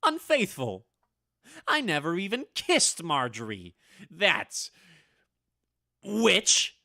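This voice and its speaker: Guy doing a nervous old henpecked man in a radio play, stammers, slow, voice jumps up and down. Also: sits high, wildly swooping pitch, gravelly, pushed and bright, no room sound, studio quality, heavily acted. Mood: bitter, indignant. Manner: stammering, hesitant, flustered. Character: nervous, henpecked old man recalling his witch wife. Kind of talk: old-time radio drama storytelling.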